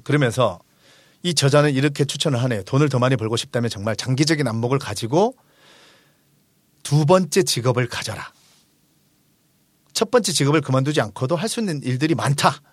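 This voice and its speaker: A man's voice: medium pitch, lively intonation, a little fast, long pauses, dry recording. A man in his forties hosting a book discussion, explaining ideas conversationally and emphatically. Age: 40s. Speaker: host of a book discussion show; restaurant owner.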